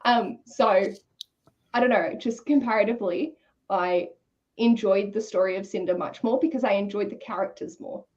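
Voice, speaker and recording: Casual female co-host, informal delivery, mid-pitched, lo-fi recording. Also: normal speed, darkish tone. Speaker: book discussion co-host. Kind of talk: casual book discussion.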